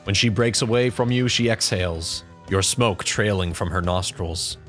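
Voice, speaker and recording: A man's voice: lowish voice, normal speed, a bit bright, faint music behind. A man narrating a scripted audio drama as storyteller.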